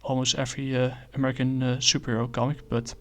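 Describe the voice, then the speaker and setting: Male comic reviewer, middle pitch, monotone, hesitant with lots of fillers. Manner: halting, many fillers and restarts. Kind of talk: casual spoken review.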